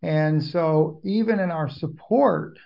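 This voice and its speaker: A man's voice: on the high side, takes it slowly, gravelly, dull audio. A man speaking as an interview guest, giving discursive conversational answers and often backtracking to rephrase. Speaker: interview guest, Bible prophecy commentator.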